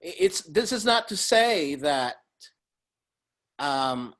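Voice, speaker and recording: A man's voice: on the high side, big pitch swings, takes it slowly, a bit bright. Rambling, hesitant man in casual chat. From Dominican Republic. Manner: rambling, hesitant with many fillers, laughs often. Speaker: podcast guest.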